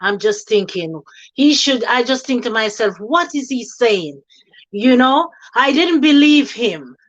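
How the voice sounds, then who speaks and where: Higher-voiced woman, lo-fi call audio. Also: lively intonation, measured pace. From born in Jamaica, raised in England. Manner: earnest storytelling, slips into quoting others. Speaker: guest, nurse running a charity. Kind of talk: telling her story in an interview.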